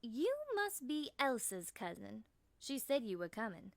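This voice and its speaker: A woman voicing a friendly, easygoing woman in her thirties with a honey-smooth Southern American accent, in an audiobook story. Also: fairly high pitch, measured pace, dry recording, full character voice. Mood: knowing, friendly. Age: mid-thirties. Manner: relaxed, folksy.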